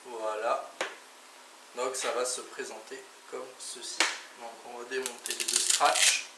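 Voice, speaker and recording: Guy doing a chill how-to, medium pitch, little interjections between steps, roomy echoey sound. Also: level tone, measured pace. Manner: informal, talks through each step with little interjections. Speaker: motorcycle vlogger presenting gear. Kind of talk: casual hands-on product tutorial. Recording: echoey, distant mic, clearly noisy background.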